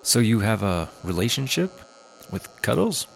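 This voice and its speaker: A reserved documentary interviewer in a comedy audio drama. His voice is lowish with some fry, and his questioning intonation moves up and down. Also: very animated, normal speed, a little hiss or hum. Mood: reserved, curious. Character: reserved male documentarian asking quiet questions.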